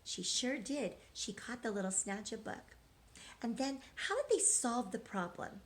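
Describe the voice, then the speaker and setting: Slow, gentle teacher reading to kids. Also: female voice, on the high side, expressive. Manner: gentle and engaging, asks questions. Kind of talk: children's read-aloud.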